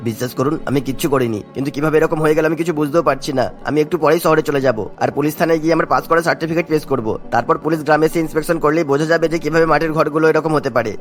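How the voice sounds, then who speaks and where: Cartoon village builder played by a man: earnest, insistent, very rapid, slightly high voice, faint music behind. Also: fairly even intonation, lightly acted. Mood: pleading. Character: earnest, pleading young village mud-house builder. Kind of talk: cartoon character dialogue.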